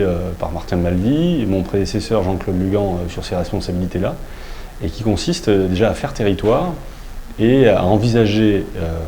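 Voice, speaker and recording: A man, a local official, explaining in a public presentation style with halting, pause-filled delivery. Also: on the low side, mellow, slight background noise.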